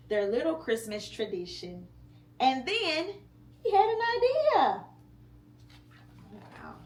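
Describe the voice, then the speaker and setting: Woman, mother reading a storybook aloud, unhurried, slightly high voice, echoey room. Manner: slow, expressive reading with pauses. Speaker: mother reading to her child. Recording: echoey room with faint hum.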